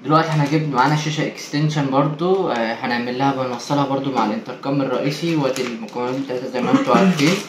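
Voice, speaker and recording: A man's voice: mid-range voice, very fast, hardly any pauses, roomy sound, a crowd in the background. A man with an Egyptian Arabic accent giving casual, hands-on tutorial commentary as an installer, recorded on site indoors. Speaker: installer presenting an installation video. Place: indoors in a marble-walled room. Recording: noisy handheld on-site recording.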